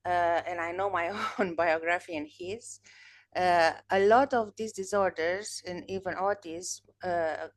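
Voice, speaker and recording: A woman in her thirties, whose native language is Romanian, hosts a podcast and talks with a guest over a webcam video call from a room at home. Her voice sits on the low side, and she speaks earnestly, often restarting her sentences. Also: a little slow. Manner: thoughtful, earnest, with frequent restarts. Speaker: podcast host. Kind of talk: casual interview chat.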